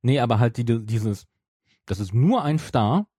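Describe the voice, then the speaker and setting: A man's voice: medium pitch, expressive, moderate pace, dark tone, slightly rough, dry recording, studio quality. A man hosting a podcast, chatting casually in an argumentative, ironic way. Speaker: podcast host. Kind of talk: casual chat.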